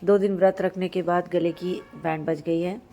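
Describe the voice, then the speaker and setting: A hoarse woman in her thirties from central India, narrating a vlog outdoors on a rooftop and explaining the customs with devotion. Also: fairly low pitch, steady tone, normal speed, mellow, slight background noise. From Madhya Pradesh, India. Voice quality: husky. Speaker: vlogger.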